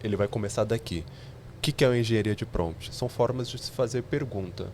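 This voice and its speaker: A man's voice: lowish voice, fast, darkish tone, slight background noise. A man, a podcast guest explaining technical ideas step by step with examples.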